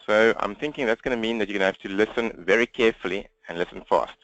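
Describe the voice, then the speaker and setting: A man with a South African accent presenting an interactive webinar, talking fast in a direct way and engaging listeners with questions. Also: fairly low pitch, a bit bright, no echo, poor audio. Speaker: webinar presenter and coach for accountants. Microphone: computer webinar microphone, presenter standing.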